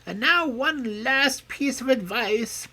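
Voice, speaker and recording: A reader voicing an old, feeble mother pig who is loving and anxious, in a slow, earnest storybook telling. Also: mid-range voice, lively intonation, pushed and bright, voice pushed up, heavily acted. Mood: tender, worried. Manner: slow, tender, earnest pleading. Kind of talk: storybook reading.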